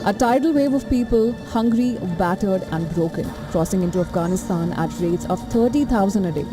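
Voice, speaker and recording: A woman's voice: mid-range voice, brisk. Woman reporter, dramatic emphatic voice-over, music bed underneath. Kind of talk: scripted news voice-over.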